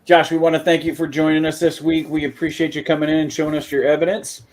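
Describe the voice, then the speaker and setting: A man hosting a paranormal talk show, upbeat and joking, full of praise and shout-outs. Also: a little high, little pitch movement, quick pace. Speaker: podcast host. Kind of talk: casual chat.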